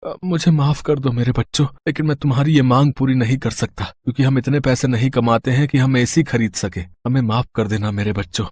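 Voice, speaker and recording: A man's voice: medium pitch, steady tone, brisk, darkish tone, slightly rough, no room sound, natural voice. A man voicing a poor, loving father in a moral story, sorrowful and earnest. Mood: apologetic.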